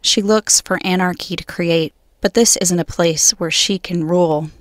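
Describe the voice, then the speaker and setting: A woman poet reading her own poems in a measured, solemn way. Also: lowish voice, fairly even intonation, no room sound, studio quality. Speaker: guest poet. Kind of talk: poetry reading.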